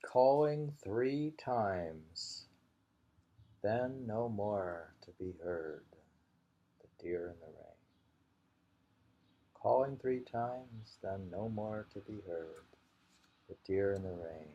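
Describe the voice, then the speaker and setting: A man with a gravelly, somewhat husky voice reads haiku and adds casual, musing remarks. He takes his time, often repeating a line. The recording is a little dull. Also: lowish voice, slow, pauses often, warm tone. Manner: musing, repeats each poem. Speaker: reader and host of a poetry reading. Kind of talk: reading haiku aloud with casual commentary.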